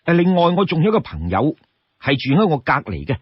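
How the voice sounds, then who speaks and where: A man's voice: fairly high pitch, wildly swooping pitch, fairly quick, husky, no room sound, lo-fi sound, heavily acted. A man voicing a polite young travelling peddler with a Cantonese accent, earnest and persuasive, in an audio story. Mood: courteous, friendly.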